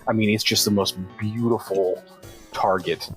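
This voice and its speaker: Deep-voiced man playing a tabletop game. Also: fairly even intonation, measured pace, a bit bright. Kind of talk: casual game chat. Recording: music behind the voice. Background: music.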